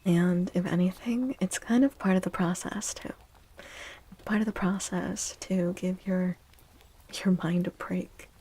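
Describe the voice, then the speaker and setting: Woman, soft-spoken ASMR host, mellow low-lit tone, husky breathiness, gentle, soothing, unhurried chat. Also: steady tone. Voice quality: breathy, often half-whispered. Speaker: livestream host doing tarot readings.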